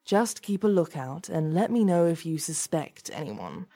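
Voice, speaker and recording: A woman's voice: low voice, animated, a little slow, dark tone, exaggerated voice. A woman voicing a blunt male office manager in an audiobook, dismissive, offhand and placating.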